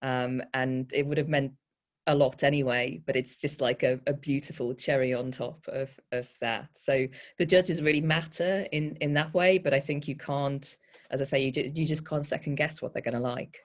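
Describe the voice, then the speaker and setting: A poet and panel guest giving thoughtful, hesitant answers, often self-correcting and gently wry. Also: mid-range voice, fairly even intonation, moderate pace.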